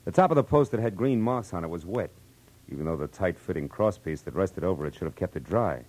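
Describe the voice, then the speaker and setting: A man's voice: low-pitched, expressive, fast, darkish tone, rough recording. An actor playing a wry, hard-boiled American private eye who talks in easygoing tough-guy slang in a 1940s radio drama. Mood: explaining, confident. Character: hard-boiled, easygoing male private eye, narrating his own case. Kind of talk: 1940s radio detective drama acting and narration.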